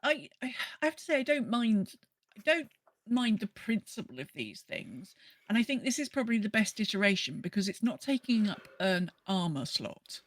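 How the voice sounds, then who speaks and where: A woman's voice: medium pitch, big pitch swings, moderate pace. A woman co-hosting a gaming podcast, chatting casually and explaining things in a conversational way. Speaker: podcast co-host.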